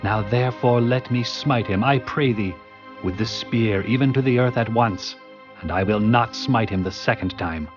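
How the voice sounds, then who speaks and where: Actor as eager warrior, muffled over loud music. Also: male voice, fairly low pitch, normal speed, natural voice. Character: Abishai, eager, bloodthirsty male warrior. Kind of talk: dramatized scripture acting. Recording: dull lo-fi sound under music.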